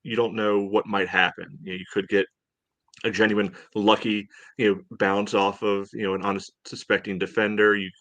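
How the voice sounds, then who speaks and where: Male podcast co-host, slightly low voice, dry close recording, halting casual match breakdown full of verbal tics. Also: level tone, a bit bright, no echo. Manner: halting, peppered with "you know". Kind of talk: casual soccer match analysis.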